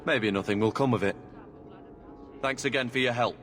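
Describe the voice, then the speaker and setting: A man's voice: medium pitch, normal speed, fairly bright tone, big-room sound, faint music behind, close to an everyday voice. An actor playing an earnest, cautious and grateful male ally in a game. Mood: grateful, uneasy.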